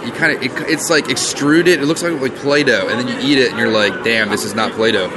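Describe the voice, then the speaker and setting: A man's voice: medium pitch, a little fast. A man interviewing a guest casually, chatty and joking, with rambling questions. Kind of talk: casual interview at an outdoor event. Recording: noisy outdoor street recording.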